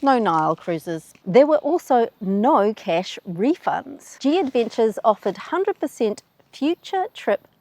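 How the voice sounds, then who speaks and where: A woman with a New Zealand accent hosting a travel explainer in a brisk, wry, plainspoken way. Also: a little high, sing-song, a little mellow, no echo. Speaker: travel video host. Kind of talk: scripted explainer.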